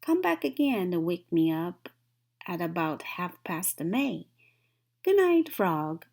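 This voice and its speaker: Woman voicing a grumbling, sleepy toad, lively pitch. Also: slow pace, vocal fry, exaggerated voice. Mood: weary, stubborn. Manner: grumbling, protesting. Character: sleepy, grumpy, reluctant male toad. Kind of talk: children's storybook read-aloud.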